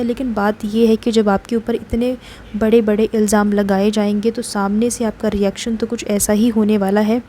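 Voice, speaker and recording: An Indian woman delivers informal vlog commentary in a fairly high, very flat voice. She restates her points for emphasis, and the tone is soft and mellow. There is faint noise behind her. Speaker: gossip vlogger. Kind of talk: informal commentary narration in Hindi mixed with English words.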